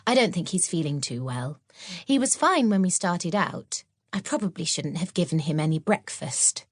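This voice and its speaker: A woman's voice: lowish voice, expressive, moderate pace, breathy edge, dry recording, clean studio sound. A woman voicing an earnest, accident-prone young schoolgirl witch who confides sheepishly, in a children's audiobook. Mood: matter-of-fact. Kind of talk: children's audiobook character acting.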